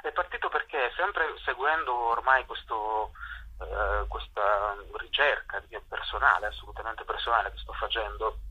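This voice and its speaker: Forceful, rough-edged man on a dull line. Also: fairly low pitch, moderate pace. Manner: hesitant, searching for words, repeating himself. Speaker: interview guest, playwright and actor. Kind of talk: reflective interview talk. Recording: dull lo-fi phone or radio line.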